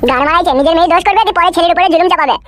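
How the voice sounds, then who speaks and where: A woman's voice: very high voice, racing, fairly bright tone, slight background noise. A woman voicing a cheeky, whining young village lad in a comedy cartoon, mouthy and protesting. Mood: defiant. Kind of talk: amateur comedy cartoon dialogue. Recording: music behind the voice.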